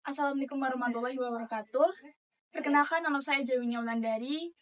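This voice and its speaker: Distant, very high-pitched young woman interviewer. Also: fast. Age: young adult. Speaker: student interviewer. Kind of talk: formal interview introduction. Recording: distant from the microphone.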